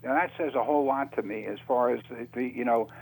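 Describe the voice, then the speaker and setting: A man speaking as an interview guest on radio, unscripted, halting and often repeating words as he searches for phrasing. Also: medium pitch, gravelly, darkish tone, slightly rough, poor audio. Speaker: interview guest, author on UFO topics.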